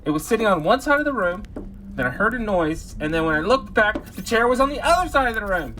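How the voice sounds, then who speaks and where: An actor playing an anxious, whiny thirteen-year-old robot boy in a comic skit, speaking haltingly. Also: mid-range voice, very animated, pushed and bright, a little hiss or hum, rough recording. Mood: doubtful, uneasy. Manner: hesitant, halting, whiny pleading.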